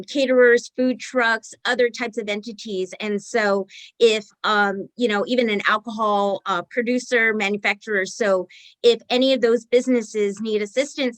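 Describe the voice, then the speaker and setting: A woman's voice: mid-range voice, fairly bright tone, no room sound. Upbeat woman speaking on a dry mic. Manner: upbeat, fluent, informative. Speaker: association president. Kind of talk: informative interview answer. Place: video call.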